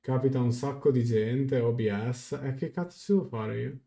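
A man's voice: medium pitch, normal speed, gravelly, warm tone. A man in his twenties with an Italian accent, giving casual live game reactions and translations. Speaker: game streamer. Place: small room at home. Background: faint game music.

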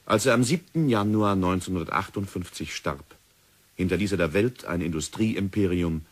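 A male narrator tells the story in a measured, sober way. His voice is very deep and husky, like a vintage radio documentary. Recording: old radio play recording.

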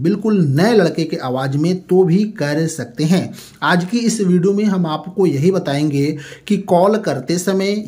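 A man's voice: fairly high pitch, darkish tone. A man hosting a tech tutorial, explaining an app step by step in a repetitive, instructional way.

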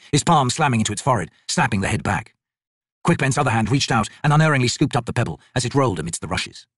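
A man's voice: mid-range voice, fairly bright tone, husky, no echo, clean studio sound. A man reading dramatic fantasy narration as an audiobook narrator.

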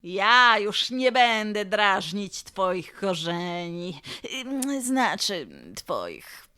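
A woman playing a proud, touchy old weeping willow tree with a softer side in a radio play. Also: medium pitch, lively intonation, very slow, clean tone, heavily acted. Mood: grudgingly reconciled.

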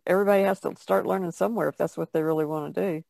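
A woman's voice: fairly low pitch, fairly even intonation, racing, gravelly, darkish tone, dry recording. A woman artist talking casually as part of a podcast panel. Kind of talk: casual chat.

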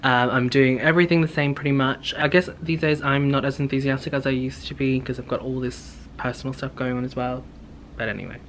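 A man's voice: mid-range voice, fairly even intonation, brisk, a bit bright. A young man from Hong Kong chatting casually and ramblingly to the camera at home, as a vlogger. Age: young adult. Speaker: vlogger, beauty content creator. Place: indoors in a home living space. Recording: clear background room noise.

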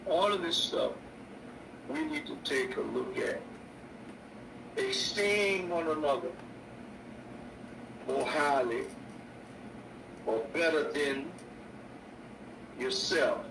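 An older man, a bishop preaching a sermon on his radio show, slow and repetitive with stammered restarts. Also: on the high side, big pitch swings, gravelly. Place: indoor room. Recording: echoey distant mic with noise.

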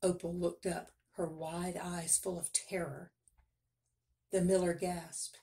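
A woman's voice: lowish voice, level tone, takes it slowly, mellow. A woman reading a children's story aloud as narrator, in scripted narration.

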